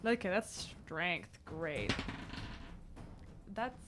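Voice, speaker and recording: A woman's voice: on the low side, big pitch swings, extremely slow, crowd noise. A woman playing a tabletop roleplaying game, chatting informally and thinking aloud about her moves. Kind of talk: casual game-table chat.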